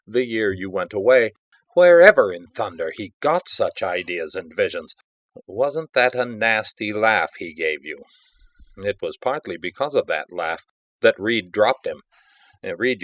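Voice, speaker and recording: Low-voiced man acting a nervy horror-tale confidant. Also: no room sound, lo-fi sound, natural voice. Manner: conversational, emphatic, theatrical. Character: nervy, confiding male art devotee telling a horror tale. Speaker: audiobook narrator. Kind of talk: dramatic first-person story reading.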